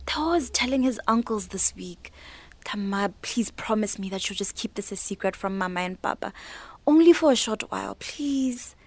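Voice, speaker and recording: A woman's voice: mid-range voice, moderate pace, no room sound. An actress playing an ambitious, earnest young niece in a radio drama, a young woman with a Botswana accent. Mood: pleading, excited. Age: young adult. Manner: animated, earnest, persuasive. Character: young, ambitious, organised niece starting a catering business. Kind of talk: radio drama acting, code-switching dialogue.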